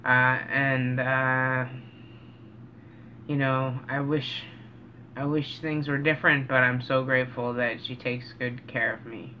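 A middle-aged man speaks slowly and earnestly in a reflective, casual vlog style, often halting mid-sentence. His voice sits at an average pitch. The recording is dull and a bit lo-fi, made in a small room with noticeable background noise. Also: level tone. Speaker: vlogger. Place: small cluttered room indoors. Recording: dull, noisy home recording.

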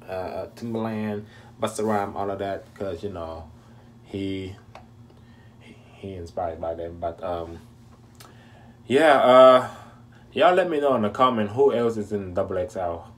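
A man in his thirties hosting a casual reaction video from a small room at home, talking in a relaxed, rambling way with lots of fillers into a close webcam mic. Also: fairly low pitch, a little hiss or hum. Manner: laid-back, rambling, many fillers.

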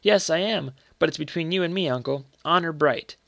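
A man voicing an earnest, secretive young boy in a story, speaking gravely and politely. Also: fairly high pitch, fairly quick, fairly bright tone, no echo, clean studio sound, voice pushed up.

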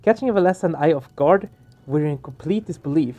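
A man's voice: fairly high pitch, lively intonation, brisk, warm tone. A man hosting a let's play, reading game narration aloud and adding casual commentary. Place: small home room, indoors. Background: game music.

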